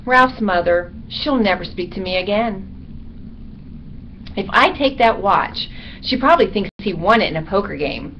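A woman's voice: mid-range voice, lively intonation, normal speed, a bit bright, lightly acted. A woman voicing a small, chatty male bat from an animal storybook, with a theatrical, rambling, comically aggrieved delivery. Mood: mournful. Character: chatty, dramatic, self-pitying little male bat. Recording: dull recording with background noise.

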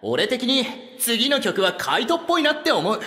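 A boyish, teasing idol in an anime stage drama. His very high voice swings animatedly and rings bright through a reverberant hall. He speaks casually and a little roughly. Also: sing-song, moderate pace, heavily acted. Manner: rough, casual, playful speech. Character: brash, teasing young male idol, boyishly energetic. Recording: roomy concert-hall reverb, faint music.

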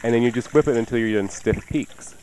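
A man's voice: mid-range voice, fairly quick, mellow, a little hiss or hum, low-quality recording. A man hosting a casual radio cooking show, conversational, with wry asides. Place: radio studio. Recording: music playing behind the voice. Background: music.

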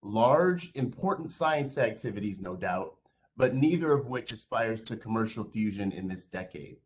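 A man, a board member, giving measured, earnest prepared remarks over a video call. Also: on the low side, expressive, slight background noise.

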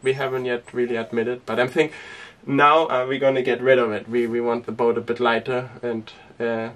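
A young man who grew up speaking Danish, chatting casually to camera inside a small sailboat, relaxed and rambling with lots of fillers. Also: mid-range voice, normal speed, recorded from a distance. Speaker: sailing vlogger. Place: inside a small sailboat cabin and cockpit tent.

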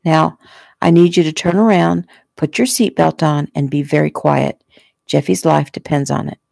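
A woman narrator plays a tense, commanding young man in an audiobook. The voice is low and creaky, firm and curt. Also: fairly even intonation, normal speed, darkish tone, no room sound, studio quality, heavily acted. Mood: controlled, urgent. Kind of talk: audiobook character acting.

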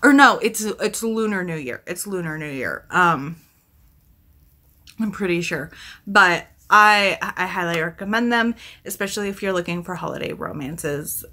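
A woman's voice: on the low side, lively intonation, moderate pace, pushed and bright. A woman in her thirties chatting casually to camera as a book vlogger, rambling and correcting herself as she thinks aloud. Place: small room at home, indoors.

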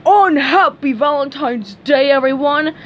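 A woman's voice: sits high, a little slow, a bit bright, lo-fi sound, a little hiss or hum, voice pushed up. A woman voicing a sulky, furious chubby male cartoon starfish, bellowing in a storybook reading. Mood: furious, wounded. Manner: brooding, bellowing when enraged.

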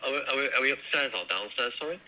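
Man, extremely bright tense voice, mid pitch, receptionist on a phone call. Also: expressive, racing. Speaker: casino staff on the phone. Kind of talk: phone customer service.

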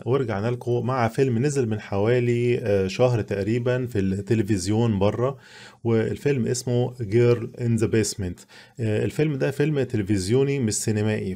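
A man's voice: medium pitch, mellow. A young man with an Egyptian accent, chatting earnestly to his viewers as he reviews a film in a casual spoken style. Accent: Egyptian Arabic.

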